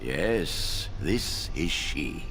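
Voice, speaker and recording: An actor playing an elderly, fatherly head mage in a fantasy game, formal, measured and wry, with a gravelly old voice. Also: rumbling low, lively intonation, fairly bright tone, faint music behind, exaggerated voice. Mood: genial. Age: older. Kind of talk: fantasy video game voice acting.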